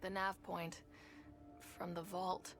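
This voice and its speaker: An actress playing a composed, firm young explorer leader in a video game cutscene. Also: female voice, level tone, takes it slowly, soft background music. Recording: slight reverb, faint rumbling noise.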